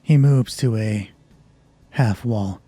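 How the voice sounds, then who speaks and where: A man narrating a solo tabletop horror roleplay as game master in measured, short descriptive phrases. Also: on the low side, dark tone, no echo. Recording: music behind the voice. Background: music.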